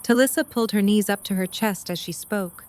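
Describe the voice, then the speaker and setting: A female narrator with a medium-pitched voice reads a story. Her delivery is scripted fiction narration, as heard between scenes of an audio drama. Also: a little fast, darkish tone.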